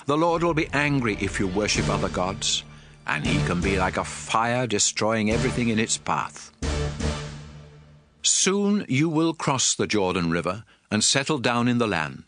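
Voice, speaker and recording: A man's voice: fairly low pitch, big pitch swings, unhurried. A man playing a stern, aged prophet exhorting his people in a solemn, emphatic dramatized scripture reading. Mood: stern warning. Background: music.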